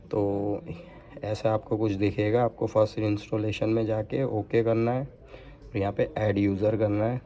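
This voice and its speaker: A man's voice: fairly low pitch, warm tone. A man giving an unscripted, step-by-step hands-on installation demo, mixing in English technical terms. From India. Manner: step-by-step explaining with English technical words mixed in. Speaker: product installation demonstrator.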